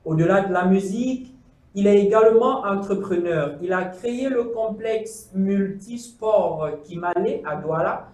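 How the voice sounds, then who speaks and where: Casting: Cameroonian male presenter, high voice, a bit off-mic in an echoey room, formal, measured, says "euh" a lot. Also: darkish tone, far from the mic. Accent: Cameroonian French. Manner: formal, unhurried introductions with frequent fillers. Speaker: host. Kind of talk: studio talk show presenting.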